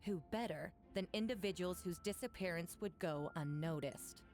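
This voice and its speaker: A woman's voice: fairly low pitch, moderate pace, a little mellow, faint music behind, natural voice. An actress playing a severe, articulate woman prosecutor in a fantasy game, formal and assertive. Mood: accusing. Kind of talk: video game voice acting.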